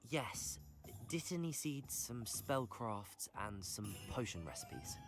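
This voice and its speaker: A man's voice: mid-range voice, big pitch swings, takes it slowly, soft background music. A young man playing a polite, earnest new student wizard in a fantasy video game, reserved and inquisitive.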